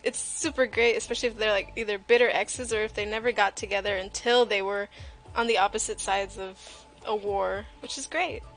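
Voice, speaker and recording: A woman's voice: medium pitch, steady tone, a little fast, a bit bright, low-quality recording. A woman livestream host chatting casually and jokingly while she draws, with a playful, self-deprecating way of talking. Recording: music playing behind the voice. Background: music.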